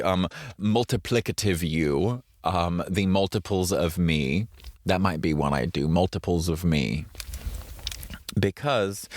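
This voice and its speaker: A man's voice: sits low, no echo, clean studio sound. A man from the Midwest hosting a solo podcast, talking in a casual, reflective way with fillers and restarts. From American Midwest. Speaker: podcast host.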